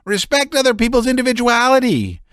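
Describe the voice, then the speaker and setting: A man reading aloud, voicing a hysterical young man who shouts and stammers in a high strained voice. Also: very animated, brisk, no room sound, clean studio sound, exaggerated voice. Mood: agitated. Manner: shrill, stammering, near-shouting.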